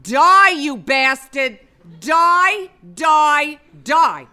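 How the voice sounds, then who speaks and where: A woman's voice: high voice, expressive, very slow, pushed and bright, exaggerated voice. A woman voicing a cranky, blunt, sardonic vulture wife in a comic audio play. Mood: aggressive.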